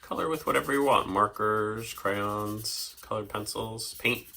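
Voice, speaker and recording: A man's voice: on the low side, unhurried, gravelly, bright, forceful tone. A man hosting a children's drawing lesson, casually talking through each step in an informal, playful way with simple explanations. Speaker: host of a children's drawing segment.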